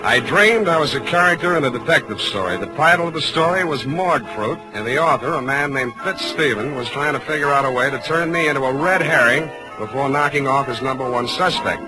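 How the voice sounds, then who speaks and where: An actor playing a wry, hard-boiled American private detective in a 1940s radio drama. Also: male voice, mid-range voice, animated, brisk, hardly any pauses, natural voice, poor audio. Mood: wry narration. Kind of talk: 1940s radio noir acting, first-person narration. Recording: vintage radio recording, music underneath.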